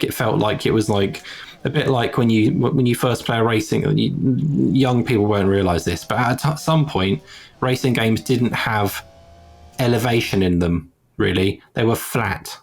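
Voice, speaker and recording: Podcast panelist, man, forty, slightly low voice, rambling delivery full of fillers. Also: measured pace, vocal fry. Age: 40s. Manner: rambling, hesitant, many fillers. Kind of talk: casual chat.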